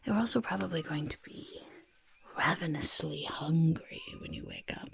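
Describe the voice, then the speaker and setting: A woman voicing a boastful, evasive goblin potion-maker in soft-spoken, breathy ASMR roleplay, fussing over the listener and hesitantly backpedalling. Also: deep voice, huge pitch swings, moderate pace, a little hiss or hum, exaggerated voice. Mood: fussily soothing. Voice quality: breathy, husky near-whisper. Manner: refers to self in third person, hesitant backpedalling.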